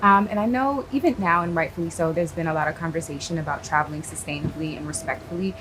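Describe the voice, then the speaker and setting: A woman's voice: low voice, quick pace. A woman interviewer asking questions in an enthusiastic, chatty way with fillers. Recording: noisy background ambience.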